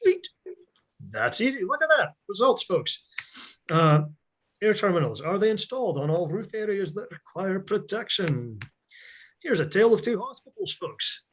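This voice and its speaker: A man's voice: high-pitched, normal speed, breathy edge. Chatty middle-aged man, mixed Scottish-American accent. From Scotland. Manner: chatty, joking, energetic. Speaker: seminar presenter. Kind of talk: virtual technical seminar. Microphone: video call.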